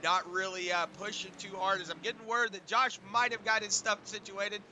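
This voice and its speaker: A man doing relaxed, rambling play-by-play for an online truck race, his voice hoarse from a sinus infection and full of filler words. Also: high-pitched, moderate pace, slight background noise. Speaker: race commentator. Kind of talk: play-by-play sim racing commentary.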